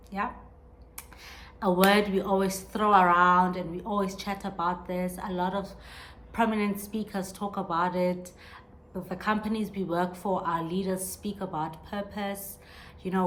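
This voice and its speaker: A woman's voice: mid-range voice, fairly bright tone, a little hiss or hum. A woman in her thirties hosting a podcast, talking earnestly and reflectively on her own, with many restarts as she searches for words. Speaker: podcast host. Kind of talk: reflective solo monologue.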